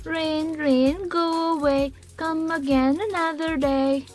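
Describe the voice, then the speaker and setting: A woman voicing a lively, knowledgeable young cartoon guide who explains things expressively to a child. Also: high-pitched, takes it slowly, faint music behind. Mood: playful. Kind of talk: scripted children's educational cartoon dialogue.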